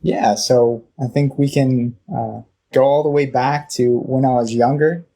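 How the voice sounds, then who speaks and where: A man from Southern California with a Californian accent, telling his personal story as an interview guest, halting and often pausing mid-phrase. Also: mid-range voice, fairly even intonation, gravelly, warm tone. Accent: Californian American. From Southern California, United States. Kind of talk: reflective personal storytelling in conversation.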